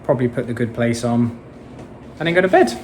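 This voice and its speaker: A man vlogging in a relaxed, rambling way, full of ums and restarts. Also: mid-range voice, brisk, a little hiss or hum. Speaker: travel vlogger. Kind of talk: casual chat to camera.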